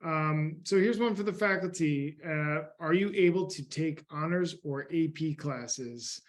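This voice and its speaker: A man's voice: fairly high pitch, a little slow. A man moderating a panel on a video call, easygoing with fillers.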